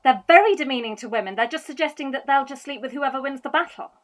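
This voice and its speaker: An actress plays a harried female amateur theatre director with a high voice. She is tactful and a bit hesitant but stays politely firm, in natural comedy dialogue. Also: fast, lightly acted.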